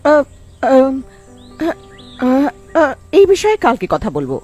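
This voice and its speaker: A woman voicing a caring, evasive village mother in a cartoon, with a gentle, hesitant manner. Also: high-pitched, wildly swooping pitch, slow, faint music behind, heavily acted. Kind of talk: cartoon dialogue.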